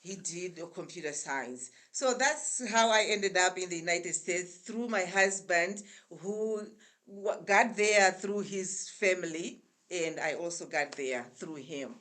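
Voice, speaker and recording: A woman in her sixties with a Zimbabwean accent speaks in a fairly low voice. She tells her story in a relaxed, chatty way, pausing often to gather her thoughts. She is heard over a remote call. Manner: chatty, unhurried storyteller with frequent pauses. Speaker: guest. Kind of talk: casual storytelling interview.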